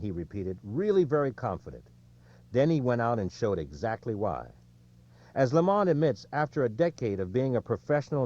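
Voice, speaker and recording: A man reading a nonfiction sports audiobook in a measured storytelling way, with emphasis on the quotes. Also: medium pitch, darkish tone, highs cut off. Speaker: audiobook narrator. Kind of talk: scripted nonfiction narration of a sports book.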